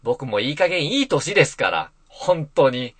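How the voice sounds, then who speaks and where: A man's voice: on the high side, sing-song, normal speed, a bit bright, dry recording. A middle-aged man hosting a casual solo net radio show, rambling along in a self-deprecating way. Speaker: net radio host and voice actor. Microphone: close mic in a soundproof booth.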